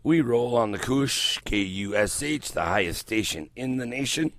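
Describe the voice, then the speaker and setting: An older man hosting morning radio, his voice hoarse and croaky as he gets over a cold, rambling loosely and swearing freely into a headset mic in a small studio. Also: medium pitch, lively intonation, moderate pace, a bit bright, no echo. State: recovering from a cold, voice coming back. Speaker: radio host. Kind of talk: casual morning radio chat. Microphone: headset mic around the ear.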